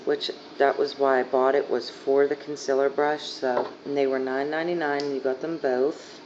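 A young woman with a hoarse, fading voice rambling casually through a makeup haul, full of fillers, filmed on a phone on her living room couch. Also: rumbling low, level tone, normal speed. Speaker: beauty vlogger. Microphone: phone camera at arm's length. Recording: dull lo-fi phone audio, faint hiss.

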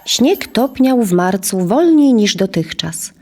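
A woman's voice: medium pitch, animated, slow pace, a little mellow, slight background noise. Woman narrating a historical tale. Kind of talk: scripted storytelling narration, audio drama.

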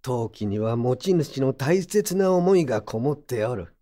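An actor playing a gruff but kindly old pottery mender with brusque old-man speech, in a Japanese audio drama. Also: medium pitch, animated, measured pace, dark tone, natural voice. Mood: kindly, reflective. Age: older.

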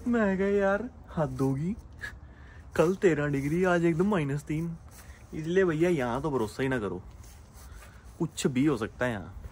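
A young man talks casually to his phone camera. His voice sits at a middling pitch and he tells things in a chatty, animated way. The handheld recording is clearly noisy, with car sounds behind him. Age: young adult. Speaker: vlogger. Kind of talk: casual vlog chat to camera. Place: car, street and home. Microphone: phone at arm's length.

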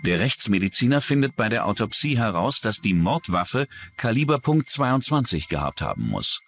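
A man's voice: lowish voice, very animated, normal speed, gravelly, fairly bright tone, faint music behind. A man delivering scripted true-crime documentary narration as the narrator.

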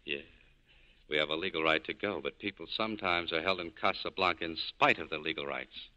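An actor playing a cool, cynical nightclub owner in clipped, hard-boiled 1940s radio style. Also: male voice, low-pitched, brisk, sharp tone, dull audio. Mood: cool, firm. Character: tough, cool cynical male nightclub owner scheming an escape. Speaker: lead actor in a radio drama.

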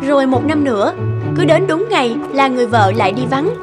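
A woman delivers scripted folktale narration. Her voice is high. Loud music plays behind her storytelling. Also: expressive, fairly quick.

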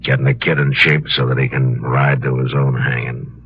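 Gruff, very deep-voiced western marshal. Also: male voice, flat delivery, moderate pace. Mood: grim. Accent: American. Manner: clipped, authoritative. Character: gruff, weary, firm US marshal of the Old West. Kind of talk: 1950s radio western acting. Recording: muffled, noisy 1950s radio recording.

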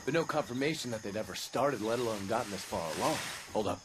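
Actor as a terse, profane spy in combat. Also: male voice, fairly low pitch, lively intonation, fast, heavy background noise, close to an everyday voice. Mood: terse, guarded. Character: gruff, profane male spy handler in jungle combat. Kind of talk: video game acting.

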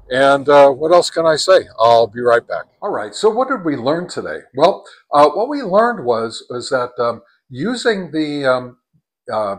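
An older man in his sixties hosts a laid-back radio-testing vlog. His voice sits at an ordinary middle pitch, and he talks in a relaxed, halting way, pausing and restarting often. Between chats he counts off test calls in a steady rhythm. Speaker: ham radio channel host.

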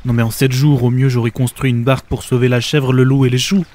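A man's voice: medium pitch, level tone, fast talker, darkish tone, close to an everyday voice. An actor playing a sarcastic, overwhelmed everyman ark builder in a comedic audio drama, haggling in hesitant, colloquial speech.

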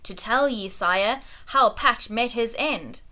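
A woman's voice: on the high side, slow pace, pushed and bright. A woman voicing a poor, tearful old shepherd who speaks in a rustic country dialect. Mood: mournful.

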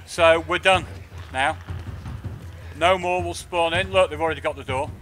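A man's voice: fairly high pitch, moderate pace. A British man giving live, irreverent, sweary commentary while streaming a video game. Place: indoors at a home streaming setup. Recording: game sound behind the voice. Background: video game audio.

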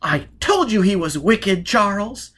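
Casting: excitable, flirtatious society lady played by a man, high, swooping delivery, storybook reading. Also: a little slow, husky, heavily acted. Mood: playful outrage. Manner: exclaiming, theatrical.

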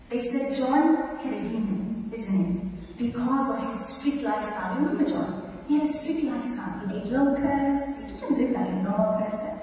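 A woman's voice: a little high, warm tone. A woman preaching a conversational sermon at a church lectern, asking the congregation questions. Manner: conversational, rhetorical questions, emphatic. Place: large church sanctuary. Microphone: lectern mic. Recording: echoey hall from far off, faint noise.

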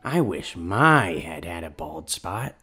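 A sneaky cartoon raccoon played by a man, sarcastic and twitchy. The voice is husky and swings wildly up and down, with faint music behind. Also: deep voice, big pitch swings, takes it slowly, bright, forceful tone, exaggerated voice. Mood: nervous, teasing. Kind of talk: comic dub cartoon acting.

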